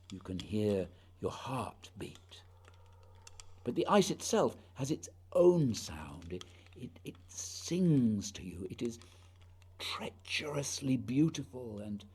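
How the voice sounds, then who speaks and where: An actor playing an earnest, haunted polar explorer in a radio play, speaking lyrically and deliberately in a husky voice. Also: male voice, medium pitch, dark tone. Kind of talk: poetic radio drama acting.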